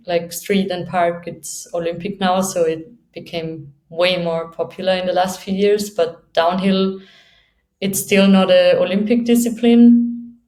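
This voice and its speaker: A woman's voice: mid-range voice, darkish tone, reverberant, poor audio. A young woman from Austria with an Austrian accent, an athlete talking casually in an interview and laughing often between phrases. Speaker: guest, downhill skateboarder.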